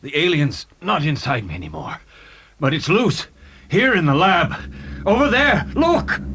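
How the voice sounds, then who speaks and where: An actor playing a weakened, frightened man freed from possession, strained and husky, in a children's audio drama. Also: a little high, sing-song, a little slow, pushed and bright, exaggerated voice. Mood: weak, alarmed. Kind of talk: dramatized children's read-along audio story.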